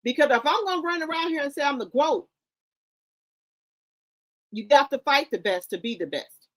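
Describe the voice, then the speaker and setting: A woman's voice: sits high, expressive, normal speed, fairly bright tone. A middle-aged woman hosting casual commentary, blunt and lecturing, mixing slang into her talk. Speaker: commentary host.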